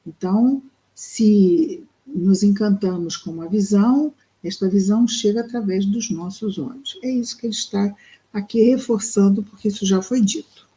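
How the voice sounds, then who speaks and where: Mellow-voiced woman explaining a text slowly. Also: mid-range voice, low-quality recording. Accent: Brazilian Portuguese. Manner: thoughtful, explains slowly with pauses and fillers. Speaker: study group presenter. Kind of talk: reading and explaining a spiritist book.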